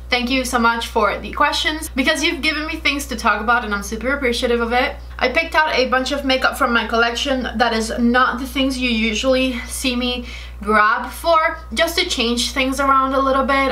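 A Cuban woman in her late twenties talks straight to the camera while doing her makeup, with a bright, fairly high voice. She is chatty and friendly and explains her story at length. Her English carries a Cuban Spanish accent. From Cuba. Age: 20s. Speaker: beauty vlogger. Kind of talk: chatty get-ready-with-me Q&A. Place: bright indoor room with shelves. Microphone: camera in front at desk distance.

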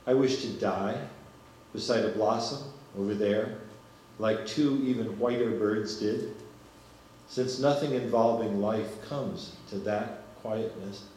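An older man, a poet, reading a translated poem in a measured voice at a small reading from a stand mic. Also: lowish voice, darkish tone, slight background noise. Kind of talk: poetry reading. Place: small room at a poetry reading. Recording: echoey room with faint ambience.

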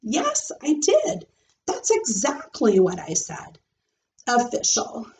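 A woman's voice: fairly high pitch, huge pitch swings, a little mellow, slight background noise, full character voice. A woman voicing a kindly male schoolteacher in a storybook, patient, upbeat and explanatory. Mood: agreeable. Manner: explanatory, measured. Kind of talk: expressive storybook read-aloud.

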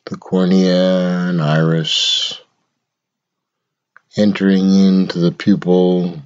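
A man leading a guided meditation in a measured, instructional way, speaking in short phrases with long pauses. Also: low voice, little pitch movement, darkish tone, clean tone, lo-fi sound.

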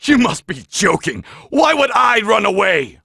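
An actor playing a proud, arrogant alien warrior prince in an anime video game, with a gravelly, taunting delivery. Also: male voice, sits high, sing-song, a little slow, bright, forceful tone, no room sound, studio quality, heavily acted. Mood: arrogant, defiant. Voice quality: gravelly, raspy. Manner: haughty, taunting.

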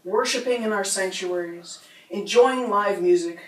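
A middle-aged woman performs her spoken word poems in a rhythmic, rhyming cadence. Her voice sits at a middle pitch. It is picked up from across a roomy bookstore, with a faint hum behind it. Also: measured pace. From United States. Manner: rhythmic, rhyming delivery with small laughs between poems. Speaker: poet. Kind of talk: spoken word poetry reading. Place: bookstore reading room. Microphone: standing mic, filmed from a distance. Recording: roomy sound from a distant camera.

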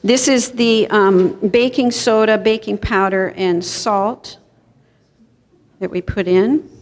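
Woman, middle-aged, cooking class presenter. Conversational and explanatory, mid-pitched, recorded live in a reverberant hall. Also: fairly even intonation, takes it slowly. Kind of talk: informal live cooking demonstration. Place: church kitchen hall, indoors, before an audience.

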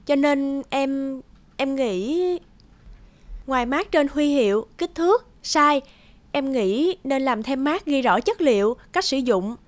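A woman's voice: sits high, expressive, takes it slowly. A woman voicing a polite, deferential young office employee in a cartoon. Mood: earnest. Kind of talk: cartoon voice acting.

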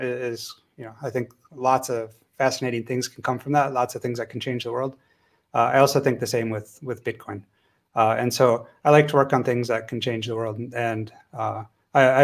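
A man, speaking as a panelist over a video call from a room at home, relaxed and chatty with plenty of fillers. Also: medium pitch. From United States. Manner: relaxed, chatty, laughs easily, many fillers. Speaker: panelist, hardware wallet maker. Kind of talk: casual panel discussion.

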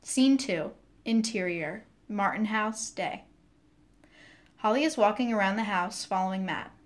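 A woman's voice: medium pitch, a little slow. A woman giving scripted narration, reading out scene headings and stage directions.

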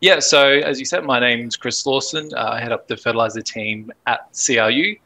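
A man in his thirties with an Australian accent, speaking as a guest on a video call and explaining things in a relaxed, steady way. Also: medium pitch, moderate pace. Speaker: podcast guest, fertilizer analyst. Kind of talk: casual interview talk. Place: home office on video call.

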